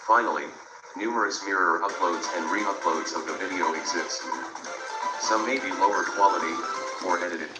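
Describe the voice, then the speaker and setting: Male computer voice, very deep, reads info text flatly, roomy sound with music under it. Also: normal speed. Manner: flat, spells out web addresses letter by letter. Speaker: narrator. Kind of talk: synthetic read-out narration. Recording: roomy with clear music behind.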